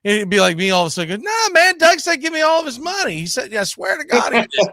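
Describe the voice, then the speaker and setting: A man's voice: very high voice, very animated, fast talker, bright, forceful tone, no room sound, clean studio sound. A man hosting a casual sports debate, speaking emphatically and repeating phrases to press his point. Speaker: sports talk host. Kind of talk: casual argumentative sports discussion.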